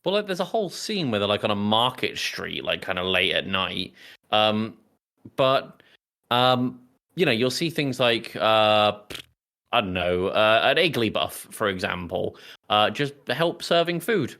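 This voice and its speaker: A man's voice: on the low side, sing-song, unhurried, sharp tone. A man co-hosting a podcast, chatting casually in a nerdy, explanatory way. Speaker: podcast co-host. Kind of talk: casual chat.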